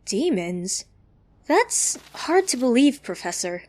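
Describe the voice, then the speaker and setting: Slow, curious young woman actress. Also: a little high, very animated, a little mellow, no room sound. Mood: sceptical, curious. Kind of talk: fan audio drama acting.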